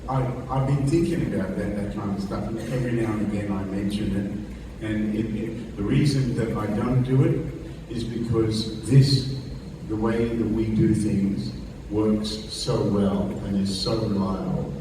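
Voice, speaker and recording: A man's voice: lowish voice, fairly even intonation, a little slow, background noise. A man teaching a guitar workshop in a casual, rambling way, repeating and restarting words as he talks and plays. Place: large echoey hall before an audience. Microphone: distant microphone. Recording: roomy hall sound from a distance. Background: guitar playing.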